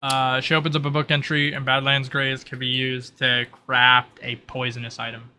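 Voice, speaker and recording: A man's voice: mid-range voice, flat delivery, normal speed, background noise. A man running a tabletop roleplay as game master, narrating and explaining rules in a casual way.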